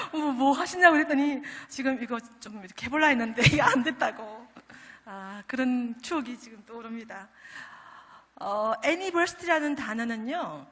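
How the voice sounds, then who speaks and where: A woman's voice: high-pitched, fairly bright tone. A middle-aged woman from Daegu with a Gyeongsang accent, a pastor's wife giving a humorous testimony sermon at a prayer meeting. She chats and jokes with the congregation through teasing asides. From Daegu, South Korea. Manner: chatty and joking, teasing the audience with asides. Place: large church sanctuary. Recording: long reverb of a large church hall.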